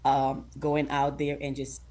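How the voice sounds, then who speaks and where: Low-voiced woman from Guyana. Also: monotone, unhurried, mellow. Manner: earnest, laughs often, acts out other people's lines. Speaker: talk show guest. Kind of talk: casual interview chat.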